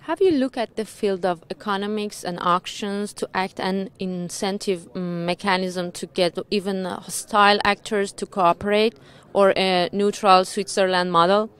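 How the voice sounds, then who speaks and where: A young woman interviewing on a conference stage, reading prepared questions in a slightly halting way. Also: mid-range voice, fairly even intonation, smooth. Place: large conference hall.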